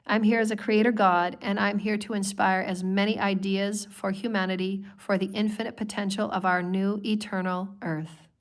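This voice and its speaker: A woman talks on a spiritual livestream, her voice hoarse from a cold. She keeps a flat, level delivery and strings phrase after phrase into long repeated lists. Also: medium pitch, moderate pace, few pauses. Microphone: livestream microphone.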